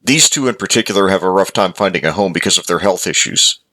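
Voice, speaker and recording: A man's voice: low voice, lively intonation, fast talker, pushed and bright. A man playing a kind, patient pet owner in a visual novel, speaking in a casual, reassuring manner. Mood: apologetic.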